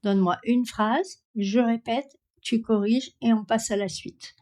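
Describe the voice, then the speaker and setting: Direct woman with a slightly high voice. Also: fairly even intonation, a little slow, mellow. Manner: direct, critical. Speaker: pronunciation coach testing a voice assistant. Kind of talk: spoken demonstration, questioning.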